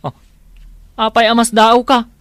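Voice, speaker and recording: A man's voice: extremely high pitch, completely flat delivery, unhurried, a bit bright, smooth, no room sound. A man with an Ilocano accent playing a reluctant, grumbling village shopkeeper in a comedy drama.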